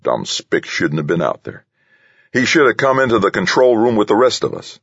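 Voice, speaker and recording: A man's voice: fairly low pitch, very animated, normal speed, fairly bright tone, breathy edge, voice pushed up, heavily acted. A man voicing a big, hot-tempered, foul-mouthed bully of an engineer in an audiobook, belligerent and roaring. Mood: furious. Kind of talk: audiobook character voice. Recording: slightly dull, roomy recording.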